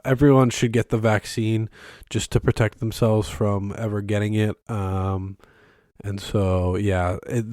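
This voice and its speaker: A young man hosting a casual solo podcast from his bedroom, rambling with many ums and restarts, his voice hoarse and congested from being sick. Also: fairly low pitch, a little mellow, no room sound, studio quality. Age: young adult. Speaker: podcast host. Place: bedroom used as a studio. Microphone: close microphone, filmed on camera.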